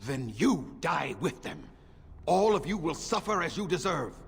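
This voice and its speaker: An actor playing a bitter, weary ancient elf in a fantasy game, speaking gravely and haltingly. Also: male voice, on the high side, very animated, exaggerated voice. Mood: vengeful. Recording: long reverb, faint game ambience noise.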